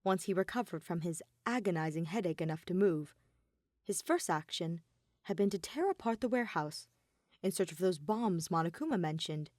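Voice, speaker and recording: A woman reading dramatic fan fiction narration in a deliberate way, pausing between phrases. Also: lowish voice, lively intonation, a little mellow, dry recording, studio quality. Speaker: podfic reader.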